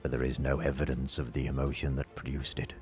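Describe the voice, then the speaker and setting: A man narrating scripted fiction in a dramatic, solemn and measured way. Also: rumbling low, monotone, a little mellow. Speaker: audiobook narrator. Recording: music under the voice. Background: background music.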